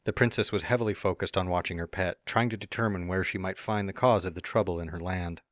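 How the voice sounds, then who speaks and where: A man narrates a storybook tale in a very low, deep voice, slipping into a cocky, teasing tone for the hero's own lines. The sound is close and dry, with no room around it. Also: fairly even intonation, fast, no echo. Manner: first-person narration with playful smug asides. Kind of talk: fan dramatic reading of a story.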